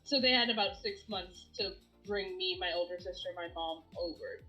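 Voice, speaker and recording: A woman from Bangladesh, a streamer guest chatting casually in an interview, animated and laughing often. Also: on the low side, normal speed, faint music behind, poor audio.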